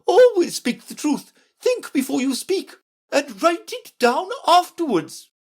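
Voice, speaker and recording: A woman voicing a sharp, impatient, bossy queen in a children's storybook, speaking emphatically. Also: high-pitched, big pitch swings, measured pace, pitched above the performer's own voice, full character voice. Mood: bossy, scolding. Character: Red Queen, sharp bossy imperious scolding royal chess piece.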